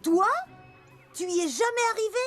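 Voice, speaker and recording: A woman playing a young, easily discouraged boy footballer in an animated cartoon. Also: very high-pitched, normal speed, soft background music. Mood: incredulous. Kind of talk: animated cartoon dub acting.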